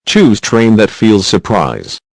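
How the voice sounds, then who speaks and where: A man's voice: lowish voice, very animated, slow, vocal fry, no echo. A man reading scripted narration for a children's quiz video, with simple prompts in non-native English phrasing.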